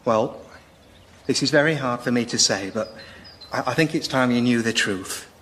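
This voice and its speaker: A British man playing an awkward young cuckoo, halting and apologetic, in a radio comedy sketch. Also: mid-range voice, a bit bright, slight background noise, lightly acted. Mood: solemn, halting. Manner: halting, sheepish.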